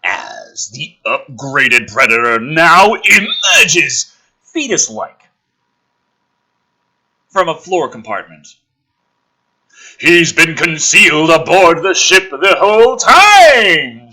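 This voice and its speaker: A man doing a dramatic script reading with sarcastic, sweary commentary, swinging between hushed narration and exasperated ranting. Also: high voice. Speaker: host of a gaming and commentary channel.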